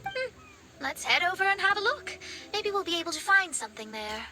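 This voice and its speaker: A woman's voice: very high-pitched, sing-song, brisk, sharp tone, full character voice. A woman playing a self-assured young astrologer in a video game, articulate, proper and analytical as she explains things. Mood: pondering. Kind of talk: video game character acting. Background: game music.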